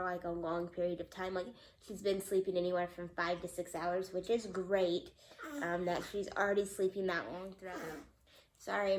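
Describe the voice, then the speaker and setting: Young woman, mom vlogger, congested, slightly nasal, chatty with fillers, phone mic, echoey room. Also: medium pitch. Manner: chatty, lots of ums and likes. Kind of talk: casual mom vlog update.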